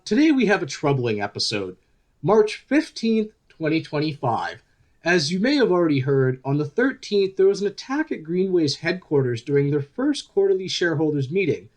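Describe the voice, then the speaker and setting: A probing news podcast host for a radio play. His fairly high voice swings with lively intonation, and he presents in a polished, earnest, deliberate style.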